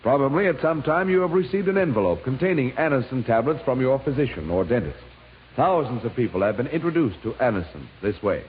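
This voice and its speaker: Male commercial announcer, 1950s style. Emphatic, clearly articulated sales read, strongly modulated, narrow-band sound with light hiss. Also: mid-range voice, very animated. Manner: polished, emphatic, deliberate enunciation. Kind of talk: 1950s radio advertisement, scripted sales read. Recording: thin vintage radio with faint hiss.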